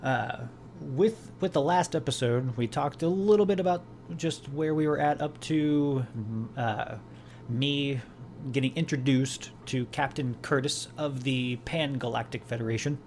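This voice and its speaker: A man of middle pitch hosting a gaming podcast, talking in a rambling, casual way full of fillers and restarts. A faint noise sits behind his voice. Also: unhurried, a little mellow. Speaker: podcast host. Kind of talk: casual chat.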